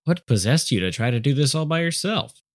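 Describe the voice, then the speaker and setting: A man's voice: mid-range voice, very animated, brisk, no echo, clean studio sound, voice pushed up, natural voice. A male narrator voicing a friendly, easygoing young man helping his new neighbor.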